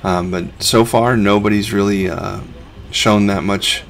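Middle-aged guy with a gravelly low voice, chill vlog voice-over, just chatting along. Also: fairly even intonation, measured pace. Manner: laid-back, conversational, explains as he goes. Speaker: reseller vlog host. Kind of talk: casual voice-over narration.

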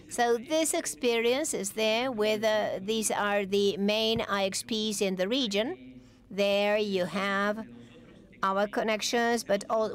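A woman giving live interpretation of a technical conference talk, in halting, stop-start phrases with pauses and restarts. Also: medium pitch.

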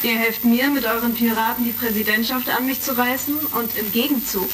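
An actress playing a scheming, power-hungry senator in a retro sci-fi radio play, speaking in a condescending, formal way. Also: female voice, on the high side, steady tone, fairly quick, fairly bright tone, close to an everyday voice. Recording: slightly distant, crackly vintage record.

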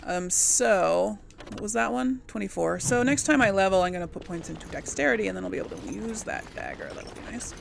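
A woman's voice: mid-range voice, sing-song, brisk, vocal fry, a little hiss or hum. A woman chatting casually through a game playthrough, thinking aloud to herself as she plays. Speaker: gamer hosting a role-play playthrough.

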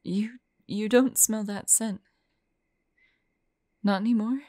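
Halting, anguished young monster woman, acted by a woman. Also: mid-range voice, steady tone, warm tone, no room sound, clean studio sound, lightly acted. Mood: anguished, struggling. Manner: halting, breathy, often dropping into whispers. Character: tormented young monster woman fighting bloodthirst, tender and frightened. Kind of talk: intimate scripted audio roleplay acting.

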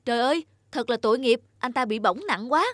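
Cartoon young farmer, woman performer: high-pitched, kind-hearted, earnest, excitable. Folk-tale delivery. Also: a little fast, no room sound. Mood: concerned. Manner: exclamatory, earnest.

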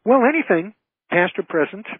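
A man's voice: sits high, lively intonation, moderate pace, husky, dry recording. An older man, an entertainer talking as an interview guest, emphatic and given to repeating his phrases. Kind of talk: conversational interview. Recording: thin, tinny phone line.

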